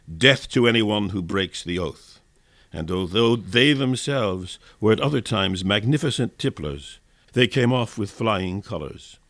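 Male narrator, lower-pitched, dry close sound, formal scripted radio reading of history. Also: a bit bright, no room sound. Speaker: narrator and reader.

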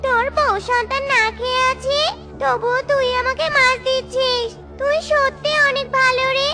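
Squeaky-high hungry witch bird. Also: level tone, normal speed, pushed and bright, heavily acted. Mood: approving, hungry. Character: hungry witch bird, bossy but grateful, cartoon. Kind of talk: children's cartoon voice acting. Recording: music behind the voice.

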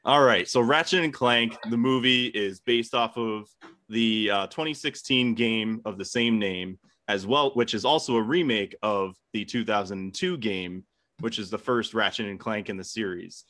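Brief: young male debater, bright forceful voice, sweary casual banter, close dry mic. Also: on the low side, moderate pace, no echo. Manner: sweary, joking. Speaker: debate contestant.

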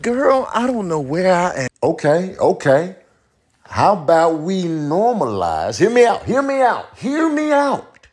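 A man's voice: high-pitched, huge pitch swings, unhurried, darkish tone, full character voice. A man voicing a bossy, trash-talking animal in a comic voice-over, with slangy, repetitive banter. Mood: frantic, pleading. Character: bossy, trash-talking male animal in dubbed comedy clips.

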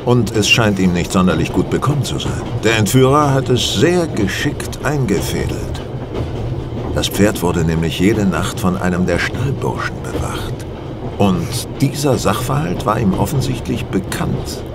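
A man's voice: on the low side, sing-song, unhurried, over loud music. An actor playing a shrewd, self-assured male detective, explaining precisely with dry superiority in a radio play. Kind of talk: German radio play dialogue. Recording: loud train and vehicle noise behind.

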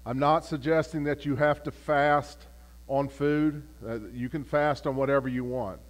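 Pastor, male, phlegmy throat, halting Bible study delivery with fillers. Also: fairly high pitch, steady tone. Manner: halting, repeats words, many fillers. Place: church. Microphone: camera.

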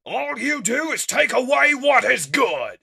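A man voicing a heroic, wrathful robot commander in slow, dramatic declarations for a comic dub. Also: on the high side, animated, sharp tone, pitched above the performer's own voice, heavily acted. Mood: furious shouting.

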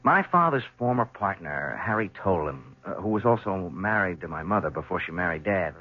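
An actor playing an earnest, shaken young son in a radio drama, speaking hesitantly. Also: male voice, sits low, animated, normal speed, slightly rough. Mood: earnest, troubled. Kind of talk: 1970s radio drama acting. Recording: muffled vintage radio broadcast.

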